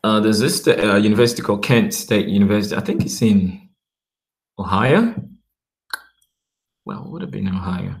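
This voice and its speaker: A man talking casually to his viewers, rambling and often checking in with "okay" while reading web pages aloud slowly. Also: on the low side, vocal fry. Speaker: vlogger. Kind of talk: casual talk to camera.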